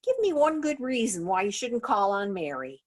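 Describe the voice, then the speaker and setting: A woman playing a gently nagging, coaxing small-town mother in an old-time radio play. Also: medium pitch, big pitch swings, normal speed. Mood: insistent. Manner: coaxing, direct.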